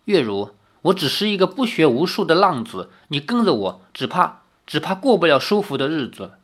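A man's voice: a little high, big pitch swings, normal speed, a bit bright. A man voicing a carefree young wandering swordsman who speaks frankly and earnestly.

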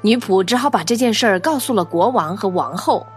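A woman narrating a children's audio story in an expressive, animated storytelling style. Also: medium pitch, brisk, soft background music.

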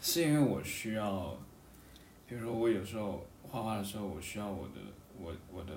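A man chatting reflectively in a hesitant, halting way, often repeating himself as he searches for words. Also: on the low side, lively intonation, a little mellow. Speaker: podcast guest, painter. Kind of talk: reflective casual chat. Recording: distant mic, echoey room, faint background noise.

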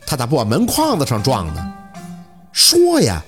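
Male narrator as bold, protective schoolkid: blunt, pushy, pitch leaping about, audiobook with faint music. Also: wildly swooping pitch, fast talker. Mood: indignant, heated.

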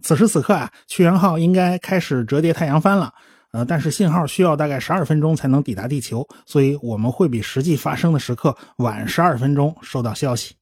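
An actor playing an eager TV commentator, explaining a space race conversationally in a radio drama. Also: male voice, a little high, fairly quick, a little mellow, slightly rough, no echo, clean studio sound.

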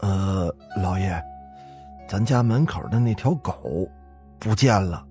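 Guy as a nervous servant steward in a ghost story, stammery, halting, very respectful. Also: sits low, mellow, soft background music. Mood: hesitant. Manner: hesitant, stammering. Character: nervous, deferential male steward in a haunted household.

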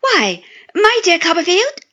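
A woman voicing a cheerful, excitable, good-natured young man who gushes with delight, in an audiobook reading. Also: very high-pitched, measured pace, muffled recording, voice pushed up, full character voice. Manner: gushing, effusive exclamations.

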